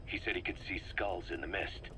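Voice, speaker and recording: Grim driven merc commander from a video game, deep hard-edged voice, intense storytelling, bit of tape noise. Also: male voice, fairly quick, sharp tone, rough recording. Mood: grim, haunted. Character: embittered, driven male mercenary commander briefing his boss. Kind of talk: dramatic video game voice acting, recounting events. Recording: faint noise, cassette tape recording.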